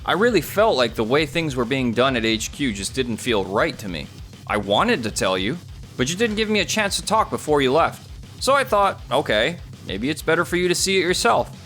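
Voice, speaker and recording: A man's voice: on the high side, sing-song, fairly quick, fairly bright tone, faint music behind. A man voicing an earnest, conciliatory young office worker in an English manga dub, sincere and persuasive. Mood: surprised, earnest.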